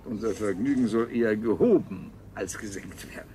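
An actor playing a callous, domineering colony ruler in a radio play, formal, deliberate and dispassionate. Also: male voice, lowish voice, dark tone, a little hiss or hum, lightly acted. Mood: callous, matter-of-fact. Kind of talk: 1970s German radio play acting.

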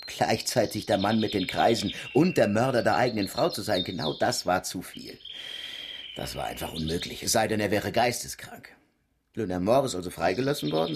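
A man's voice: on the low side, background noise. An actor playing an earnest, sceptical male police inspector in a radio crime drama, arguing his case emphatically. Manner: emphatic, argumentative.

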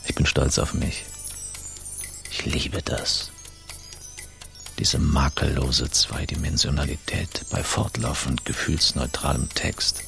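A man's voice: very deep voice, steady tone, slow, gravelly, pauses often, sharp tone, faint music behind, full character voice. An actor playing a smug, besotted cosmetic surgeon in a radio drama monologue, savouring his phrases with irony. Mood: smitten, self-satisfied.

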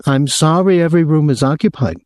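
A man's voice: fairly high pitch, fairly quick, a little mellow, no room sound, clean studio sound, pitched above the performer's own voice, natural voice. A man voicing an aggrieved, officious male hotel clerk in an audiobook, with a prim and pompous formal manner. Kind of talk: audiobook character voice.

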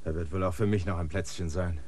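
Ein Schauspieler spielt in einem Hörspiel einen erschöpften, flehenden Landvermesser, der drängend und bittend spricht. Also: male voice, low voice, steady tone, fast, a little mellow, a little hiss or hum, close to an everyday voice. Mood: dismayed. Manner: pleading, clinging, insistent. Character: weary stranded male land surveyor, pleading and insistent. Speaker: land surveyor character. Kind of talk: radio play acting.

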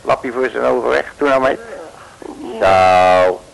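An actor playing a boastful, bumbling male detective, blustering and shouting in a 1950s radio play. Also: mid-range voice, slow, vocal fry, rough recording. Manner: blustering, with made-up curses and sudden shouts.

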